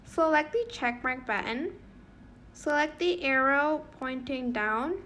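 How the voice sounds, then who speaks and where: A woman's voice: high voice, slow pace, pushed and bright, slight background noise. A young woman hosting a casual app tutorial, informal, giggly and a little hesitant, talking over a screen recording. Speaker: tutorial channel host.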